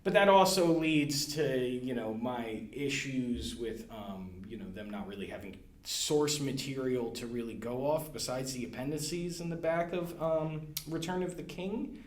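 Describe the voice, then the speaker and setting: A man's voice: mid-range voice, a little slow, reverberant. A man talking casually on a library podcast, hesitant, with many fillers and restarts. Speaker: guest, library staff. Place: room at a library.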